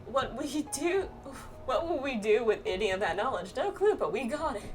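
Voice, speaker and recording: Woman reading as a breezy, animated astrologer guide. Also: fairly high pitch, normal speed. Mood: playful, boastful. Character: breezy, confident astrologer guide from a fantasy game. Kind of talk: reading video game quest dialogue aloud. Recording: distant mic, music behind.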